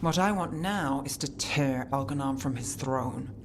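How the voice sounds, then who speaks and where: A woman's voice: a bass voice, measured pace, noisy background. A woman voicing a cool, resolute female mage in a video game, guarded and matter-of-fact with a dry wit. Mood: guarded, determined. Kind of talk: video game character acting.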